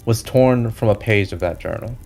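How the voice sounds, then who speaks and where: A man's voice: lowish voice, normal speed, darkish tone. A man narrating a tabletop role-playing game as game master, improvising the scene for a player. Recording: music behind the voice.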